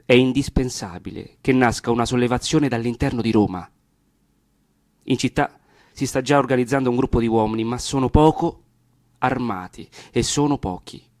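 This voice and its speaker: A fervent revolutionary patriot, played by a male actor, declaims in a radio drama to rally his comrades. The voice is medium-pitched and oratorical, recorded very dry. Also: darkish tone, no echo, close to an everyday voice. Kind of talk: theatrical speech in a radio drama.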